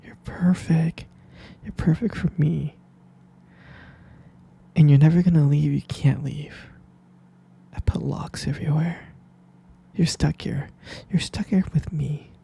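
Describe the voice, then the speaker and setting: A man performing a whispered ASMR roleplay as an obsessive, lovesick captor, breathy and halting, coaxing tenderly and possessively with repeated phrases. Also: on the high side, fairly even intonation, mellow. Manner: slow, halting, repetitive, coaxing.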